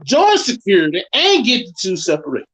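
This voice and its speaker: A man's voice: sits high, wildly swooping pitch, fairly quick, over a noisy crowd. A man in his thirties, narrating wrestling action and chatting casually, swearing freely. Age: 30s. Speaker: show narrator and commentator. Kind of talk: casual chat and read-out match narration.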